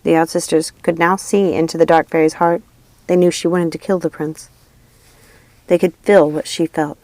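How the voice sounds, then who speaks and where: A woman reads a fantasy tale aloud in a fairly low voice. The sound is dry, with very little room in it. It is scripted storybook narration. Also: level tone, normal speed, a little mellow, dry recording. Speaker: audiobook reader.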